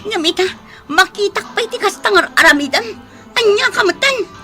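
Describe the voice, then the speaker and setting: A woman's voice: very high voice, lively intonation, moderate pace, sharp tone, exaggerated voice. An actress playing a hot-tempered, hurt young girlfriend in an Ilocano radio drama. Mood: angry, hurt. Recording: dramatic music behind the voice.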